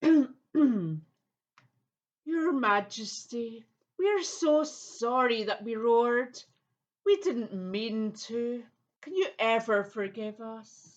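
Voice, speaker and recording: A woman's voice: fairly high pitch, animated, very slow, highs cut off. A woman voicing a timid, comical monster in a children's audio play, meek and apologetic.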